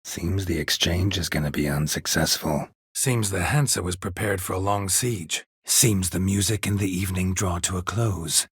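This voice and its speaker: A man voicing a gruff, stoic, dry-witted monster hunter in a video game, in a husky rasp with a terse, deadpan manner. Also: low-pitched, normal speed, few pauses, no room sound. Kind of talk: video game character dialogue.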